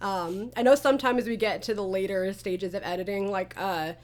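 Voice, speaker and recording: A woman chatting casually as an interview host and creator, telling stories in an animated way with quoted asides. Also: mid-range voice, normal speed.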